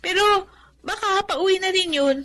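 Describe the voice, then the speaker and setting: A polite young granddaughter in a radio drama. Her voice is extremely high and bright, with an eager, childlike and respectful manner. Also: normal speed. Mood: cheerful, polite.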